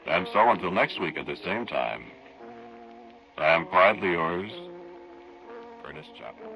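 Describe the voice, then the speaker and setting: A man hosting an old-time radio show, signing off in a smooth, intimate, formal way. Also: low-pitched, very animated, a little slow, muffled recording, faint music behind. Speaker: radio host and announcer.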